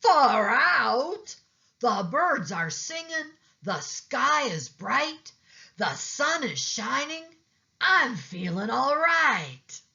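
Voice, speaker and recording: Casting: woman as storybook turtle, high, bright, drawn-out and expressive. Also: vocal fry, highs cut off, full character voice. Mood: delighted. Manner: slow, drawn-out delivery. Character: frustrated upside-down turtle who cheers up.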